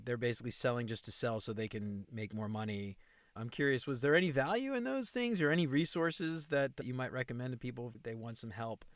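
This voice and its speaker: A man's voice: medium pitch, fairly bright tone, no room sound, clean studio sound. A man hosting a podcast interview, talking in an enthusiastic, conversational way. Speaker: podcast host.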